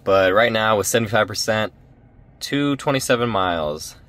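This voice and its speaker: A man's voice: lowish voice, lively intonation, normal speed, fairly bright tone. A man casually chatting to viewers in a road trip vlog, upbeat and talkative, speaking from inside his car. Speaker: vlogger. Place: inside a parked or moving car.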